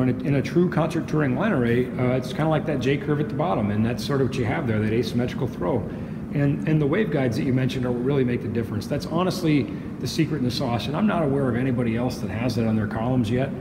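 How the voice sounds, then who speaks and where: A man's voice: mid-range voice, quick pace. A man giving a casual product walk-through as a company representative, chatty and wry with colloquial asides. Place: small hard-walled demo room with high ceiling, indoors. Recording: echoey reverberant room with noisy ambience.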